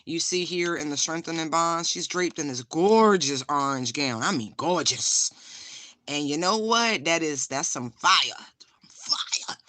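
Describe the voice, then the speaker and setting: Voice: medium pitch, expressive, unhurried, pushed and bright, no echo. A tarot reader giving a casual spiritual reading, frank and profane, laughing often and repeating phrases for emphasis.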